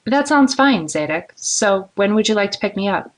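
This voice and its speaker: A woman performs a young woman in an audiobook romance, pitched up well above a low narrating voice. She sounds prim and guarded, and she puts things directly, with a self-conscious edge. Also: expressive, a little fast. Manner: prim, pointed. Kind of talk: audiobook character dialogue.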